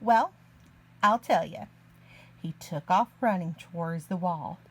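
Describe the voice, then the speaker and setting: Slow-paced woman reading a children's story. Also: lowish voice, husky. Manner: expressive, deliberate, child-directed delivery. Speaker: children's story reader, early learning teacher. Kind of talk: read-aloud picture book narration.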